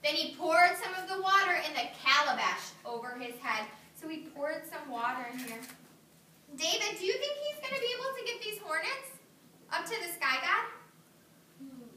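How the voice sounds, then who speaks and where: A woman teaching kindergarten reads a story aloud and keeps stopping to ask the children questions and cheer their answers. Her voice is high and bright with big swings in pitch. It is picked up from a distance in an echoey classroom with faint background hum. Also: moderate pace. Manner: animated, praising, coaxing young children. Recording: roomy classroom audio from a distance.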